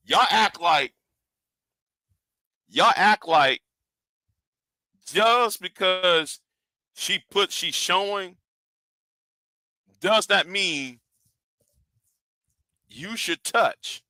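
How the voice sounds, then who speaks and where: A man's voice: high voice, lively intonation, extremely slow, pauses often, pushed and bright, no room sound. A man in his forties, a live stream host, rambling in casual commentary with lots of stammered repeats. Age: 40s.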